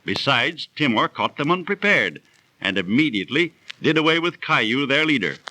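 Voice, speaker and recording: An actor playing an assured, sceptical storyteller, articulate and didactic, in 1930s radio drama style. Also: male voice, medium pitch, sing-song, moderate pace, sharp tone, no room sound, rough recording. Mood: insistent, sceptical. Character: know-it-all storyteller, sceptical friend of a businessman. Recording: dry 1930s radio studio recording.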